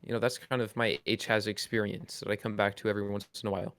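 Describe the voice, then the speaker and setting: A man's voice: on the low side, flat delivery, quick pace, fairly bright tone. A man co-hosting a podcast, chatting casually in a playful, wry way. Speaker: podcast co-host. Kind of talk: casual chat.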